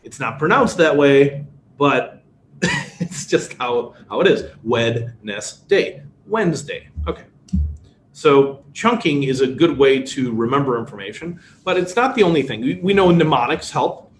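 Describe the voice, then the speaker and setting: A man's voice: mid-range voice, lively intonation, unhurried, a little hiss or hum. American man teaching a webinar, roomy sound. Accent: Chicago. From United States. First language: Polish. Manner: explains with everyday examples, frequent fillers. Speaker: workshop presenter. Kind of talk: webinar lecture. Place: indoor room. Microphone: webcam video call.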